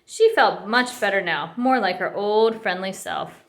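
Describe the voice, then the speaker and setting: A woman reading a children's picture book aloud with expressive narration. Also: medium pitch, measured pace. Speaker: storybook reader.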